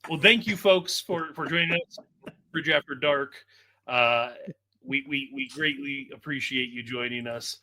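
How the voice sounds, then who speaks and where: Bright-voiced man hosting a casual podcast. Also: mid-range voice, measured pace. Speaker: podcast host. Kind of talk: casual comedic chat.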